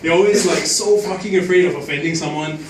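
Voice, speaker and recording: A man's voice: a little high, fast talker. A man from Singapore, doing a crude, profanity-laden open-mic stand-up set. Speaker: stand-up comic. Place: small comedy club at an open mic night. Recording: echoey, distant club audio with crowd noise.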